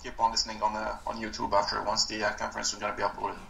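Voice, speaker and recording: A man's voice: lowish voice, monotone, very fast, vocal fry, bright, forceful tone. A man speaking casually as a team member on an echoey, slightly noisy conference call line. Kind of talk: casual spoken sign-off.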